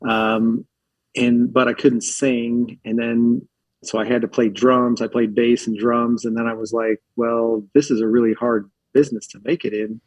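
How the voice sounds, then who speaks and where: A man's voice: mid-range voice, little pitch movement, moderate pace, dry recording, poor audio. A man from Philadelphia, a podcast guest telling stories in a rambling, casual way full of filler words. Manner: rambling, filler-heavy, uses lots of likes. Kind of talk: casual storytelling chat.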